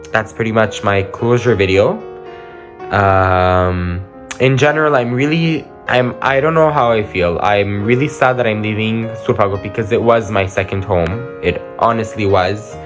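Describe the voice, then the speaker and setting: A man's voice: fairly low pitch, a little slow, music in the background. A late-teen young man whose first language is Armenian, giving a rambling, hesitant farewell reflection as a graduating student, with frequent ums and restarts. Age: late teens.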